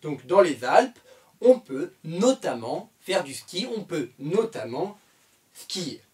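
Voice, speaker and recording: A man from France teaches French with a slow, deliberate pace and a voice that rises and falls a lot. He keeps repeating key phrases patiently, dotted with "hein" and "euh", pitched a little high for a man. The voice sits somewhat away from the microphone. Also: big pitch swings, darkish tone, distant mic. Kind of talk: slow explanatory language lesson.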